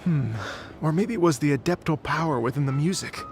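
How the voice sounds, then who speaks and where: A man's voice: on the high side, a little fast, natural voice. An actor voicing an earnest, effusive young male musician in a video game, speaking in a heartfelt way. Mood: awestruck. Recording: music under the voice.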